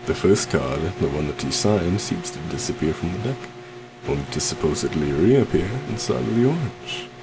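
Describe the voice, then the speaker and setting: A man's voice: deep voice, noisy background. A man playing a suave, possessive stage magician in an ASMR roleplay, theatrical and courtly, coaxing sweetly with a menacing undertone. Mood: smug, coaxing. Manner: theatrical, courtly, soft coaxing with terms of endearment.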